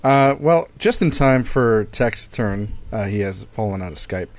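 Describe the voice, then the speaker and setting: A man's voice: on the low side, expressive, unhurried. A man hosting a casual podcast, easygoing, passing turns and chiming in with short reactions. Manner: easygoing, brief reactions, moves the show along. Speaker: podcast host.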